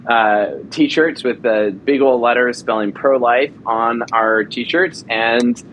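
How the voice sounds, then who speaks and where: Upbeat male guest, faint rumble behind. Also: slow pace, fairly bright tone, poor audio. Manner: enthusiastic storyteller with frequent fillers. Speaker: actor and audio drama producer. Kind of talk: casual interview chat.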